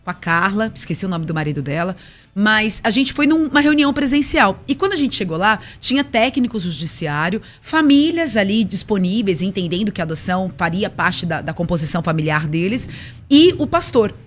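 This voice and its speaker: A woman pastor from the São Paulo coast with a Paulista accent, telling personal stories in a lively radio conversation. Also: mid-range voice, fairly quick. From Brazil, São Paulo state coast. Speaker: pastor and children's book author.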